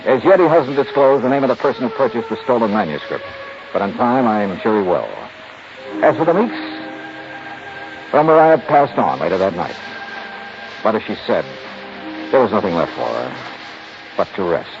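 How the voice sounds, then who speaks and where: Muffled old-time radio lead: confident American detective, clipped, widely varied intonation. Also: male voice, lowish voice, big pitch swings, moderate pace, long pauses, over music. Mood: dry narration. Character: cool, shrewd male insurance investigator who narrates. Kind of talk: 1950s radio drama, first-person narration. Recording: muffled, faintly noisy 1950s radio recording.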